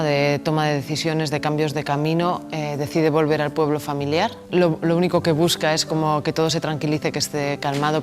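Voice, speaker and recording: Basque woman director musing out loud, stops and repeats words, pretty even pitch. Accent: Basque Spanish. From Basque Country, Spain. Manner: thoughtful, hesitant, repeats words while searching for them. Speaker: film director. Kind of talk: reflective interview answers.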